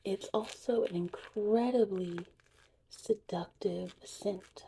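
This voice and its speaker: A woman whispering softly and breathily in an unhurried, chatty ASMR witch potion tutorial roleplay. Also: medium pitch, gravelly, slight background noise, low-quality recording. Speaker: ASMR host.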